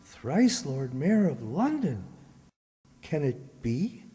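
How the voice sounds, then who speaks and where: A woman's voice: low-pitched, sing-song, takes it slowly, exaggerated voice. A woman playing a mistreated young servant boy, earnest and polite, in a children's radio play. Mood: wondering, hopeful. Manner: earnest, pleading. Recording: hall-like reverberant stage sound.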